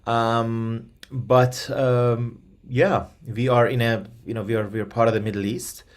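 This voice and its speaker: A man's voice: medium pitch, level tone, measured pace. A man from Iran whose first language is Persian, answering interview questions as an expert with frequent ums and restarts. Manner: hesitant, many ums and restarts. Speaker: guest expert.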